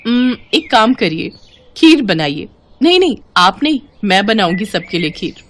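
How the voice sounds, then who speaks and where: A woman acts a young daughter-in-law in a cartoon moral tale. She is sweet and coaxing, with a quietly scheming insistence. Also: medium pitch, sing-song, measured pace, slight background noise. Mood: insistent, cheerful. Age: young adult. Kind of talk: animated moral story voice acting.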